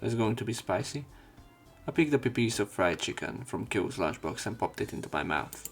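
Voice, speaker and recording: Gravelly low-voiced man reading casually. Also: animated, normal speed, soft background music. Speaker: let's play host. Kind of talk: reading visual novel text aloud with commentary.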